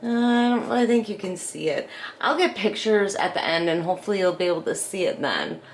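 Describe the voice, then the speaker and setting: Chatty woman with a raspy voice from a cold. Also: fairly low pitch, sing-song, brisk, a little hiss or hum. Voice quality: slightly raspy. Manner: rambling, chatty, frequent ums and self-corrections. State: raspy from a cold, getting over a cough. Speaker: craft channel host. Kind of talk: casual crafting tutorial chat. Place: home craft table in the evening.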